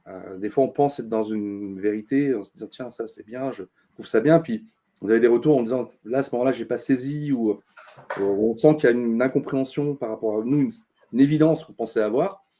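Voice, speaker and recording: A middle-aged man, a consultant being interviewed, talking earnestly with many pauses and restarts. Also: medium pitch, mellow. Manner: thoughtful, many fillers and restarts, earnest advice-giving. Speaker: interview guest, business consultant. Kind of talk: casual interview talk.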